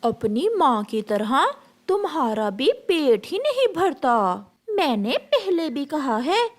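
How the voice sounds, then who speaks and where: Cartoon crow mother-in-law, a woman acting: elderly, quarrelsome, nagging, high animated pitch. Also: slow, heavily acted. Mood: scolding. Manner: nagging, scolding. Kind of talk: animated moral story dialogue.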